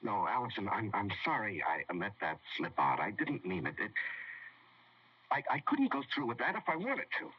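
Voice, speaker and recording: An actor playing an anxious, guilt-ridden fiancé, stammering and hesitant in a radio drama. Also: male voice, fairly low pitch, sing-song, moderate pace, breathy edge. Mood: anxious, pleading. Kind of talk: old-time radio drama acting. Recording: muffled vintage radio recording.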